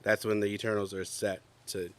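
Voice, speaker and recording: Young man, low voice, congested and coughing, relaxed podcast co-host. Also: brisk. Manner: chatty, rambling with many fillers and laughs. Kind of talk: casual chat. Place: outdoors.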